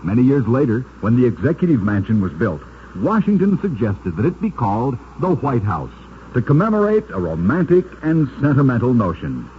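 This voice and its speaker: A man's voice: medium pitch, very animated, moderate pace. A man narrating scripted curiosities for an old-time radio show, with a dramatic, emphatic storyteller delivery. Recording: dull, noisy vintage radio recording.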